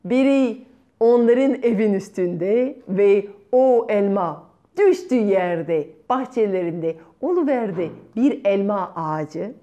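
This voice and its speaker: A middle-aged woman telling a children's fairy tale expressively, with dramatic pauses. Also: mid-range voice, lively intonation, dark tone. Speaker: children's storyteller. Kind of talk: performed fairy-tale storytelling. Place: bright room at home by a window. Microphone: small clip-on lapel mic.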